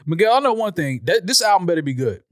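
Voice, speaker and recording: A man's voice: high-pitched, big pitch swings, fast, dry recording, studio quality. An American man around forty, hosting a casual podcast discussion. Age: 30s or 40s. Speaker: podcast host.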